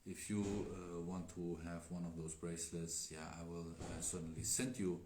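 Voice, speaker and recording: A man who is not a native English speaker, chatting casually as a Daoist teacher on a livestream, halting with many fillers. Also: low voice, little pitch movement. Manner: halting, filler-heavy, laughs often. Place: indoor room with tea table. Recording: echoey room, faint noise.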